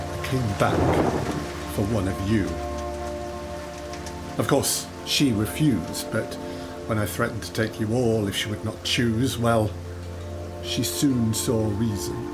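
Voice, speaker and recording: An actor playing a menacing supernatural creature in an audio drama, speaking in a measured, mocking, theatrical way. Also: male voice, fairly low pitch. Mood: gloating. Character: menacing male supernatural child-taking creature, calmly gloating. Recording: music under the voice.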